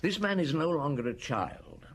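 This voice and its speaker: An actor playing a patient, methodical middle-aged police inspector in a radio drama, reasoning aloud. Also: male voice, on the high side, big pitch swings, normal speed. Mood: deliberate. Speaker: detective.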